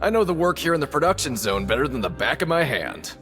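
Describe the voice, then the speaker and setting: A man's voice: on the high side, big pitch swings, quick pace, a bit bright, soft background music. A man playing an affable, folksy veteran prison factory worker in a video game, sociable and a little self-important. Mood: friendly. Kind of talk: animated video game voice acting.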